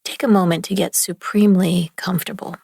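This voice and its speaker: A woman leading a guided relaxation meditation in a gentle, soothing, hushed manner with long pauses between phrases. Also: fairly low pitch, steady tone, creaky, slightly rough, no echo, clean studio sound. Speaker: yoga nidra meditation guide.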